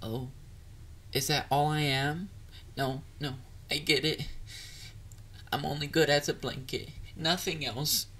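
A man's voice: on the high side, fairly even intonation, slow pace, pauses often, pushed and bright, breathy edge, heavily acted. A man playing a bubbly, bashful catboy boyfriend in an intimate roleplay audio drama, dorky and easily flustered. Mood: flustered, pouting. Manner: playful, dorky, flustered, hesitant. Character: bubbly, bashful young male catboy partner.

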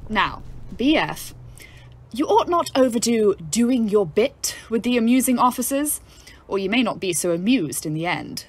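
A brash, aristocratic young British woman in a wartime radio play. Her voice is fairly high and swoops with mockery. She is bold, irreverent and salty. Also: big pitch swings, moderate pace, breathy edge. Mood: teasing. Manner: bold, mocking, salty-tongued.

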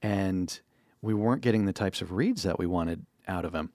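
A man's voice: low-pitched, normal speed, warm tone, dry recording, studio quality. A man co-hosting a podcast, chatting casually and giving advice as a voiceover coach.

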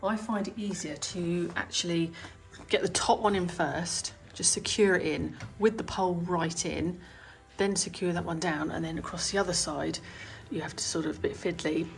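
A woman's voice: on the low side, steady tone, moderate pace, creaky, low-quality recording. A woman giving a casual DIY tutorial at home, thinking aloud as she works on a staircase. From United Kingdom. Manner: chatty, thinking aloud while working. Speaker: DIY home renovation vlogger. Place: indoor hallway and staircase at home. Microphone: handheld phone or camera filming her hands.

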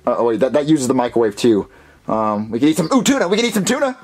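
A man voicing a naive, easily panicked young boy in a comedy skit, earnest and excitable, often stammering. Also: mid-range voice, fast talker. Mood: earnest, eager.

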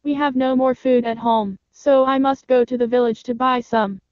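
A woman voicing a caring, cautious mother goat in a storybook, with a soft, gentle voice. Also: high-pitched, steady tone, normal speed, clear, resonant tone, dry recording, lo-fi sound, voice pushed up, lightly acted. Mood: caring, warning.

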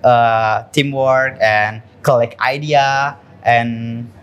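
A young man from Indonesia speaking haltingly about his program and searching for words, holding a handheld mic. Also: medium pitch, fairly even intonation, fairly bright tone, clear, resonant tone, highs cut off. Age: young adult. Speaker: student participant. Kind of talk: casual testimonial.

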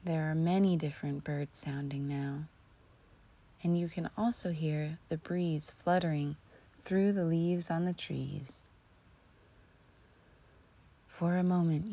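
A woman narrating a guided imagery relaxation in a soothing, deliberate, breathy voice that often sinks close to a whisper. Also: sits low, fairly even intonation, dark tone. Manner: soothing, slow and deliberate with long pauses. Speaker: guided meditation host.

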